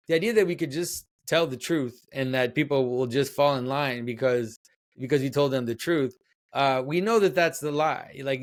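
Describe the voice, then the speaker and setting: A middle-aged man from Quebec, native French speaker, talks over a video call from home. He answers in a thoughtful, reflective way, often pausing on "uh" and restarting his sentences. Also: mid-range voice. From Quebec, Canada. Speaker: guest author and artist. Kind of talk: conversational interview answers. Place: home room, indoors.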